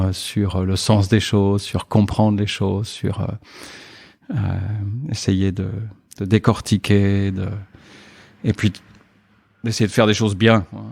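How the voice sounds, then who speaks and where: Hesitant, low-voiced man in his fifties. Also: darkish tone, no room sound, clean studio sound. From Paris, France. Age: 50s. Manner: hesitant, many fillers and restarts. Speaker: guest. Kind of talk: reflective podcast interview.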